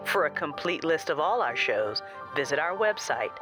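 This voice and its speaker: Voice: medium pitch, big pitch swings, normal speed, over music. An announcer reading a scripted promotional message.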